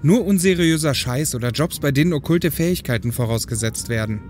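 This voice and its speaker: A man's voice: on the high side, animated, fairly quick, a little mellow, a little hiss or hum, lightly acted. A man playing a young, admiring younger brother in an audio drama, talking in a casual, curious way. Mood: earnest. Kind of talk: German audio drama acting.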